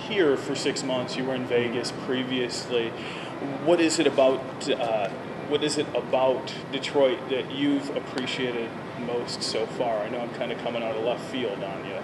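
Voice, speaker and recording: Male field reporter, mid-pitched, relaxed questioning, heavy background noise. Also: normal speed. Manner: conversational, easygoing questions. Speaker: interviewer reporter. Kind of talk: field interview. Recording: loud background noise on location.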